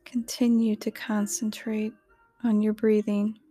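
A woman reading a scripted guided meditation in a soothing, gentle, measured manner. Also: medium pitch, flat delivery, husky, no room sound.

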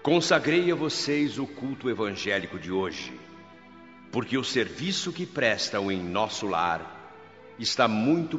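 A man playing the solemn governor of a spirit colony, speaking in a grave, measured, emphatic way in a radio drama, over soft music. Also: on the low side. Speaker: governor preaching to workers. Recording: dull radio-drama recording with long reverb.

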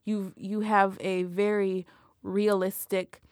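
A woman's voice: mid-range voice, flat delivery, takes it slowly, darkish tone, clear, resonant tone, no echo. A woman hosting a podcast, chatting enthusiastically with a friend and often restarting her sentences. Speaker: podcast host. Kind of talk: casual interview chat.

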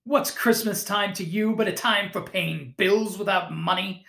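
Reader doing a grumpy stingy old man, slow and gravelly, echoey room. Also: mid-range voice, expressive, fairly bright tone, heavily acted. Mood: scornful. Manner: curt, scornful. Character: miserly bitter old man.

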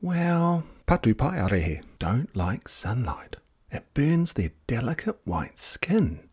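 A man voicing an excitable, fretful old grandmother telling tales, with exclamations and hushed asides. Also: mid-range voice, slow, husky, voice pushed up, heavily acted. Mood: worried.

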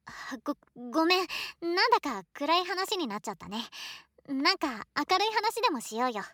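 A shy, affectionate student, once a boy and now a girl, acted by a woman, speaks in a very high, soft voice. The delivery is emotive, with wide swings in pitch, as in an intimate situation voice drama addressed to a best friend. The sound is close and very dry. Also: big pitch swings, normal speed, no echo, full character voice. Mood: wistful, sincere. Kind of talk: Japanese situation voice drama acting, intimate one-sided dialogue.

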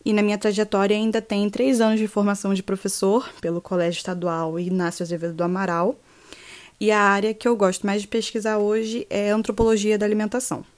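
A woman's voice: mid-range voice, little pitch movement, fairly quick, a little mellow. A woman in her twenties from Rio de Janeiro with a Carioca accent, a podcast guest who talks in a friendly, chatty way. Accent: Rio de Janeiro Portuguese. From Rio de Janeiro, Brazil. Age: 20s. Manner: friendly, chatty self-introduction. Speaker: guest, social sciences student. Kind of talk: casual podcast interview.

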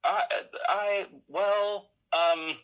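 A man chatting casually as an interview guest, halting often as he thinks aloud. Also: sits high, fairly even intonation, fairly bright tone, clean tone. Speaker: interview guest, audio drama creator.

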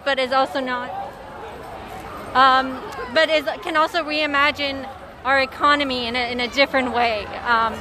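A woman being interviewed outdoors at a protest, speaking thoughtfully and hesitantly with many fillers. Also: high voice. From immigrant recently moved to Canada. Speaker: interviewee at a climate strike. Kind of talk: street interview. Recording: outdoor crowd noise.

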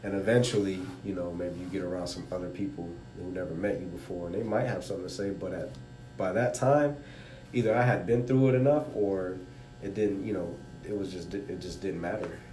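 A man's voice: a little fast, warm tone. A man in a casual talk, rambling with many fillers such as you know. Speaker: conversation participant. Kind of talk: casual conversation. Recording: roomy with faint rumble.

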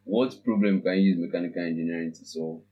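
A man, a podcast guest in casual chat, thinking aloud with pauses and frequent laughs. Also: low-pitched, mellow, husky, highs cut off. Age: 20s.

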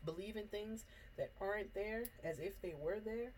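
A woman's voice: medium pitch, normal speed, a little mellow, slight background noise. A young woman with a congested, stuffy nose, chatting casually and teasing on a podcast. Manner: teasing, laughs often. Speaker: podcast co-host. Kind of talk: casual chat.

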